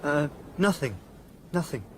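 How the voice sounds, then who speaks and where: An actor in a radio drama playing an uneasy, hesitant son on a family holiday. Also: male voice, fairly high pitch, lively intonation, unhurried, slight background noise. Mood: shaken.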